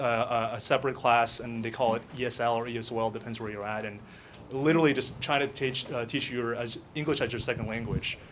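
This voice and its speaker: A man's voice: mid-range voice, a bit bright, a little hiss or hum. A young man whose first language is Filipino, telling his personal story at a speaker panel with many fillers and restarts. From United States. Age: young adult.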